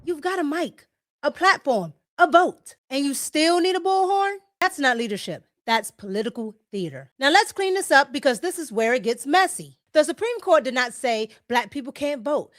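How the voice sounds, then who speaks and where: American female political host, high register, dry close sound, blunt and mocking commentary. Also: animated, moderate pace, no echo.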